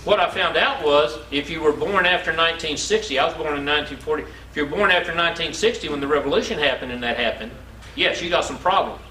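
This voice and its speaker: Bright-voiced older storyteller, man, roomy sound. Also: a little high, quick pace. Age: 60s. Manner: folksy, animated storyteller with repetition and acted-out dialogue. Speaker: speaker telling his life story to an audience. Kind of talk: anecdotal inspirational talk. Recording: roomy, distant mic, faint background noise.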